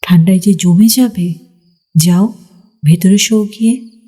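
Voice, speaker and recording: A woman's voice: mid-range voice, takes it slowly, mellow, slightly rough. A woman playing a mysterious, alluring young village woman in a ghost story, coaxing and homely in manner. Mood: coaxing, insistent. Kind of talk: dramatised Bengali ghost story.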